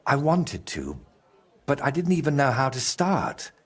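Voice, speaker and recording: An actor playing a troubled, guilt-ridden medieval painter in a video game, with a husky, halting and brooding delivery. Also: male voice, medium pitch, sing-song. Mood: regretful. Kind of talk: video game dramatic dialogue.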